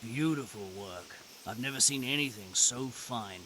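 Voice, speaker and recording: A friendly castle workman in a medieval video game. His voice is mid-pitched and bright, admiring in tone, over faint hiss and outdoor noise. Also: measured pace, low-quality recording. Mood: friendly, wry. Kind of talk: video game cutscene acting.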